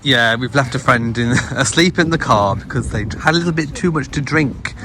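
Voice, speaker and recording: A man's voice: mid-range voice, normal speed. A man hosting a casual vlog, chatting to viewers and narrating what is around him. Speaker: vlog host. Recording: noisy handheld vlog with crowd and street sounds.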